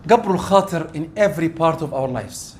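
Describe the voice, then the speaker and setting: Middle-aged preacher, high voice, animated and rising to loud peaks. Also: male voice, normal speed, slight background noise. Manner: animated, acts out quoted exchanges, rising loud when excited. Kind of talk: sermon.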